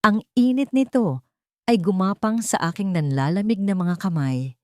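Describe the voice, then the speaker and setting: A woman's voice: mid-range voice, moderate pace, warm tone, no room sound. A woman reading a dramatic first-person story as a narrator, in a solemn, emotive storytelling manner.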